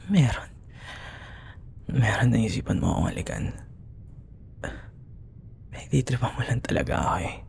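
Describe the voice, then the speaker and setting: A man with a Filipino accent playing a young, grudging, secretly smitten school rival in an intimate, breathy ASMR roleplay, often dropping into whispers. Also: mid-range voice, big pitch swings, moderate pace, pauses often, a little mellow, a little hiss or hum. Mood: disbelieving, tender. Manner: teasing, grumbling, hesitant. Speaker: audio roleplay voice actor.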